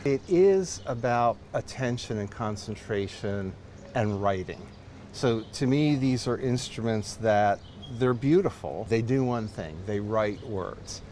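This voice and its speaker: A middle-aged man with a low voice talks thoughtfully about the things he loves, earnest and a little halting. Faint noise sits behind him. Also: animated, a little mellow. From United States. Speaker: typewriter collector. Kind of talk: interview answers.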